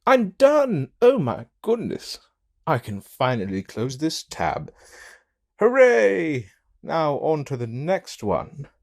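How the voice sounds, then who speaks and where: A male voice actor chats between takes, joking in a playful, dramatic manner. His pitch moves around a lot, and his pace is unhurried. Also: huge pitch swings, vocal fry, a little mellow. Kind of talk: joking studio outtake chatter.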